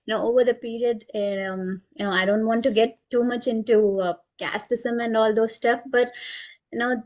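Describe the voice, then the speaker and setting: A woman's voice: a little high, fairly even intonation, moderate pace, a little mellow, muffled recording. A woman presenter answering at a community meeting, speaking earnestly with many fillers. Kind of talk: casual explanatory talk.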